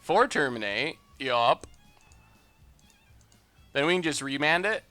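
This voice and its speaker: A man's voice: a little high, expressive, takes it slowly, bright, forceful tone, dry recording, faint music behind. A man streaming a card game, narrating his plays and chatting with viewers in an upbeat, conversational way. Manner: upbeat, chatty, reads and answers viewer messages. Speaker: streamer and gameplay commentator. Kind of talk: live-stream gameplay commentary with chat replies.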